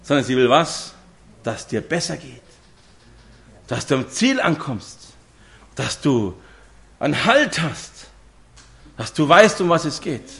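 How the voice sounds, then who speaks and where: A man's voice: on the high side, sing-song, slow, creaky, pauses often, fairly bright tone. A man, preaching a sermon to a congregation and telling stories with direct questions to his listeners. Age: 50s.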